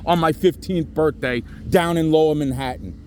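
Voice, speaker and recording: A man's voice: on the high side, normal speed, a little mellow. A middle-aged man on a phone call, venting in an agitated, rambling way with heavy swearing. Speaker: caller reporting to police. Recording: noisy phone call, traffic sounds behind.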